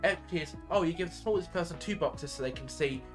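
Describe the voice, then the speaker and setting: A man in a casual discussion, explaining his points haltingly as he thinks aloud. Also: a little high. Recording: music playing behind the voice. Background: music.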